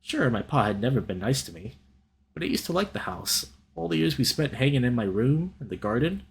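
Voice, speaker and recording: A man reading a visual novel aloud as a let's play host, in an expressive, dramatic storytelling style with casual reactions. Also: medium pitch, a little fast, creaky. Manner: animated, dramatic reader.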